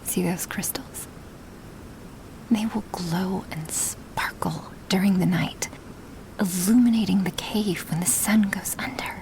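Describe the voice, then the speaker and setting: A woman playing a gentle, apologetic female dragon in a soft-spoken ASMR roleplay, breathy and formal in her careful phrasing. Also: on the low side, takes it slowly, rough recording. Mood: awed, tender. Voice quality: breathy, half-whispered.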